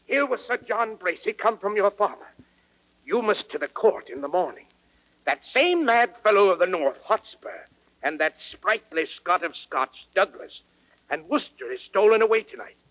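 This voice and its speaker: An actor playing a prince's roguish, alarmist companion in old radio Shakespeare style. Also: male voice, high voice, big pitch swings, moderate pace, a bit bright, low-quality recording. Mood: alarmed, goading. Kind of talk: 1930s radio Shakespeare acting.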